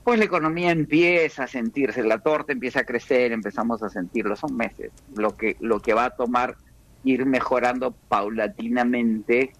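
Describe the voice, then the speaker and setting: Measured Peruvian-born man explaining economics. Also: mid-range voice, fairly even intonation, dry recording, rough recording. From Peru. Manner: measured, explanatory, frequent restarts. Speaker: economist guest. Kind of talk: radio interview answers.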